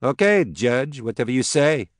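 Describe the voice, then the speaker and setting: A man acts out a drunken young woman from a novel, pitched up high and declaiming loudly. She is bitter and defiant, and every phrase drips with theatrical sarcasm. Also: big pitch swings, moderate pace, dry recording, studio quality, close to an everyday voice. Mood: sarcastic.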